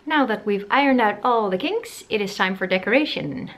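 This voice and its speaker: A woman's voice: mid-range voice, animated, moderate pace. Chatty woman vlogging from her living room. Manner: chatty and self-deprecating, wondering aloud. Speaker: sewing vlogger. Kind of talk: casual tutorial talk to camera.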